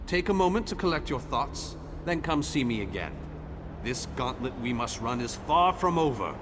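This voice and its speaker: An actor voicing a fastidious, businesslike army commander in a video game, speaking in a formal, articulate manner. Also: male voice, medium pitch, very animated, background noise, close to an everyday voice. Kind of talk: scripted video game dialogue.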